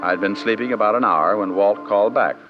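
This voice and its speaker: An American man playing a wry, hard-boiled private detective in vintage radio drama style, with flippant deadpan delivery. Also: on the low side, brisk, lightly acted. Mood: matter-of-fact narration. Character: wisecracking, hard-boiled male private detective narrating his case. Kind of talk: 1940s-50s radio detective acting and narration. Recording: dull vintage radio recording with music.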